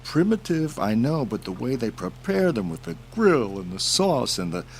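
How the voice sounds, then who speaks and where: An actor playing an irreverent covert boss in an audio drama, husky and casual, with a teasing, enthusiastic way of talking. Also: male voice, medium pitch, very animated, moderate pace, darkish tone, heavily acted. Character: playful, irreverent superior agent, a covert male boss.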